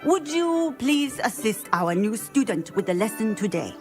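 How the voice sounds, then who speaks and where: A woman voicing a brisk, stern teacher of magical creatures in a game cutscene. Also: fairly high pitch, big pitch swings, a little mellow, faint music behind. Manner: brisk, instructive.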